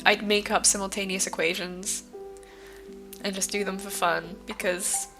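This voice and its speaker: A woman's voice: mid-range voice, fairly even intonation, a little slow, creaky, music in the background. A woman streaming, chatting casually with viewers, rambling with lots of fillers and a stuffy nose from hay fever.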